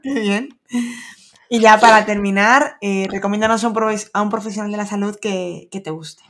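A woman's voice: mid-range voice, normal speed, fairly bright tone. A woman hosting a podcast, asking her guest questions with plenty of fillers. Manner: hesitant, many fillers while asking. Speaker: podcast host. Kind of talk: casual interview questions.

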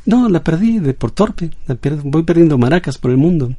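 A man's voice: animated, moderate pace. A man voicing a scatterbrained, boastful bolero singer in a comedy sketch, talking in an absurd rambling way. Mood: chatty. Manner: rambling, absurd, bright higher voice. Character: scatterbrained, boastful male bolero crooner, middle-aged.

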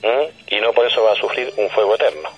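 A man with a Chilean accent explaining things conversationally as a radio guest, didactic and halting, often checking that he is being followed. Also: lowish voice, breathy edge, soft background music. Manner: didactic, halting, frequently seeks confirmation. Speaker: radio guest on paranormal topics. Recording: dull, band-limited phone or radio line.

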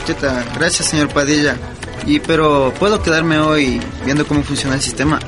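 A man plays a laid-back young night student in a radio serial. He speaks slangy Ecuadorian Spanish in a slightly high, grateful voice. Music runs clearly behind him. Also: fairly even intonation, normal speed, lightly acted. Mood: easygoing, grateful. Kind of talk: naturalistic radio drama dialogue.

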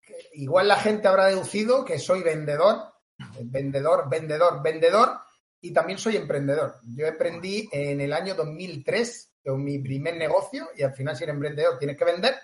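A man's voice: on the high side, normal speed, reverberant, poor audio. A man from Catalonia with a Murcian-tinged Spanish accent, a sales coach talking as a guest in an interview, emphatic and fond of repeating phrases. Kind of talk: podcast interview.

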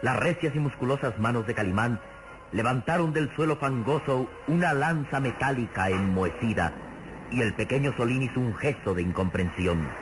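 A man's voice: medium pitch, lively intonation, normal speed, lightly acted. A man narrating an adventure radio serial in a formal, emphatic, suspenseful style. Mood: descriptive, grave. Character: grave, vivid narrator of a heroic adventure serial. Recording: noisy old radio serial recording.

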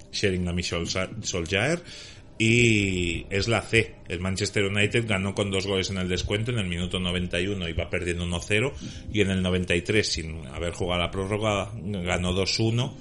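A man's voice: low voice, a bit bright. A man playing a quiz contestant, answering football questions at length with dates and scores. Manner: thorough, reels off dates and scores. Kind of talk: detailed football trivia answers.

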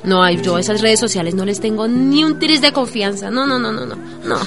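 A woman's voice: a little high, a little fast, over music, a crowd in the background, lightly acted. A woman playing an anxious, affectionate young Colombian migrant at a market stall in a radio drama, speaking colloquially with a Colombian accent. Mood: worried. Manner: expressive, colloquial, sighing exclamations.

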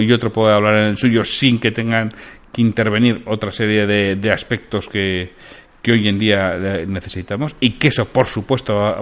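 A man hosting a solo podcast, giving opinionated commentary on the news and putting questions straight to his listeners. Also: medium pitch. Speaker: podcast host.

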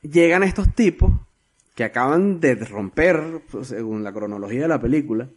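A man's voice: medium pitch, expressive, moderate pace. A man, co-hosting a casual film podcast, retelling scenes with slang, cursing and frequent restarts. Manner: storytelling, swearing freely, many restarts. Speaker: podcast co-host.

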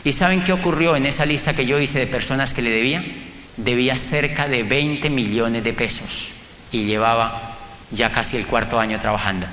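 Bright-voiced Colombian man speaking in an echoey hall. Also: fairly high pitch. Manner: emphatic, asks rhetorical questions, acts out dialogues. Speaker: motivational speaker and business coach. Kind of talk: motivational storytelling talk to a live audience. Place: large room or hall before an audience. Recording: echoey hall, faint background noise.

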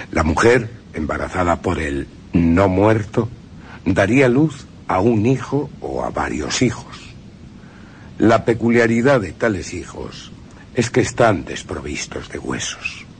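Slow, deep male storyteller. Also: a little hiss or hum. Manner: deliberate, theatrical storytelling. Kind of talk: dramatized scripted radio narration.

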